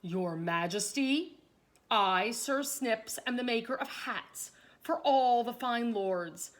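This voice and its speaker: A woman's voice: a little high, lively intonation, takes it slowly. A woman voicing a pompous, haughty small male hatmaker in a children's storybook, with scornful, emphatic delivery. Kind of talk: children's storybook read aloud.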